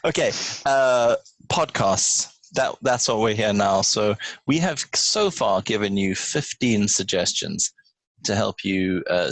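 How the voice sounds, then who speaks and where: A man with a fairly low voice hosts a relaxed podcast chat. He is playful and jokey as he talks to his listeners and friends. The sound is dry, with very little room in it. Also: dry recording, poor audio. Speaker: podcast host.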